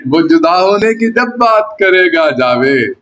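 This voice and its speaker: A man, a poet, reciting ghazal verse in a deliberate manner, repeating lines for emphasis. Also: high-pitched, big pitch swings, highs cut off, crowd noise. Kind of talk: Urdu ghazal poetry recitation. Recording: clear background noise.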